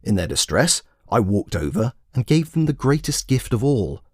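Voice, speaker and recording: A man's voice: mid-range voice, sing-song, normal speed, slightly rough, dry recording, studio quality. A man voicing a smug, pedantic, self-admiring traveller in a scripted comic audio monologue, pompous and precise. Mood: self-satisfied.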